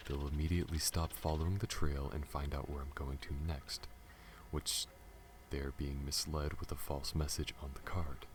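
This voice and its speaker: A man playing a guarded, protective alien smuggler pilot in an ASMR audio roleplay, earnest and halting, speaking to the listener. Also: very deep voice. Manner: halting, stammers when flustered, earnest. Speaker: voice actor. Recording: audible background noise.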